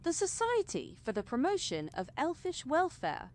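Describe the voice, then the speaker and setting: Woman acting an earnest high-voiced schoolgirl. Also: huge pitch swings, normal speed, darkish tone, a little hiss or hum. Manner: prim, emphatic. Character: earnest, indignant, bookish schoolgirl activist. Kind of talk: fan audio drama acting.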